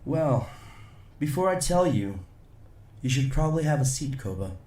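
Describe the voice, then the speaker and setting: An actor playing a steady, determined security agent, businesslike and dryly pointed, in a science fiction audio drama. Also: male voice, medium pitch, normal speed, distant mic, close to an everyday voice. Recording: roomy echo, music underneath.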